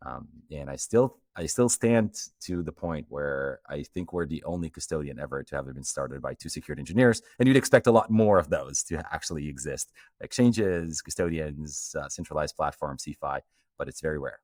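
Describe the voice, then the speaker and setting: Very deep-voiced man from Portugal. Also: lively intonation, moderate pace, darkish tone, no room sound, clean studio sound. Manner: fluent storytelling, fillers like um. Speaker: guest, company co-founder. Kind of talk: conversational interview answers.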